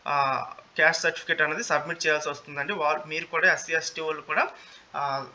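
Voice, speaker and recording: A man's voice: on the high side, sharp tone. A man with a Telugu Indian accent giving an informal explainer, repeating key details and mixing in English terms. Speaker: presenter of job notification videos. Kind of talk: informal explainer of a job notice. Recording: muffled lo-fi audio with faint noise.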